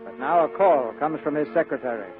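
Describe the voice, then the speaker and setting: A man's voice: on the high side, a little fast. A man narrating a scripted drama as a 1940s radio announcer. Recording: muffled old radio broadcast with music.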